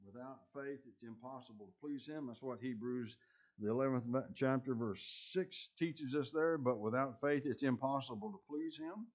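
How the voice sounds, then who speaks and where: A man's voice: medium pitch, moderate pace, a little mellow. Man, preacher, scratchy voice, earnest, restarts phrases, dull lo-fi recording. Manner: earnest, with many restarts and ums. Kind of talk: sermon.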